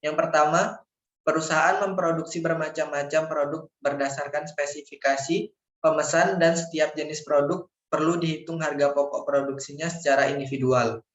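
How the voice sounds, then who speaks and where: A man's voice: fairly high pitch, fairly even intonation, moderate pace. A young man, a university student formally reading out a scripted slide presentation, sometimes stumbling over words. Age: young adult. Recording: echoey room, dull lo-fi microphone.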